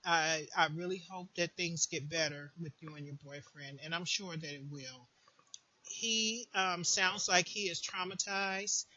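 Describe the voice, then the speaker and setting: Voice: mid-range voice, a little slow, bright, forceful tone, lo-fi sound. A person giving an informal, earnest advice monologue, repeating phrases for emphasis, as a support group host would.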